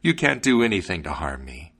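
A man playing a gruff, hot-tempered wagoner in a storybook tale, with drawn-out, bellowed words. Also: sits low, sing-song, a bit bright, heavily acted. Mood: scornful. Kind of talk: storybook character voice.

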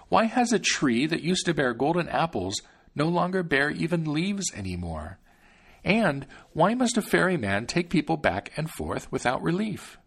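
Man doing an eager young storybook hero, raised, earnest, lively. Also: on the high side, creaky, husky, pitched above the performer's own voice, full character voice.

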